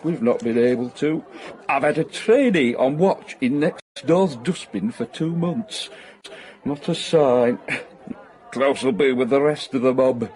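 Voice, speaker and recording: An actor playing a boozy, seen-it-all old newspaper hack, sardonic and matey, in a radio comedy-drama. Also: male voice, mid-range voice, measured pace, a little mellow, lightly acted. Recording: pub crowd murmur behind.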